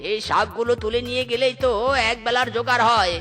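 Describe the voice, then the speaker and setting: A man's voice: very high-pitched, fast talker, pushed and bright, faint music behind. A man playing a miserly, hot-tempered village uncle in a cartoon, scolding and complaining with exclamations. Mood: dismayed. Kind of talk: exaggerated Bengali cartoon comedy dialogue.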